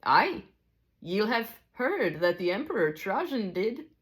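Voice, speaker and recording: A woman voicing a wise old Scottish teacher, patient and deliberate, with an attempted Scottish accent and old-fashioned phrasing. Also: medium pitch, sing-song, pitched above the performer's own voice. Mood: patient, instructive.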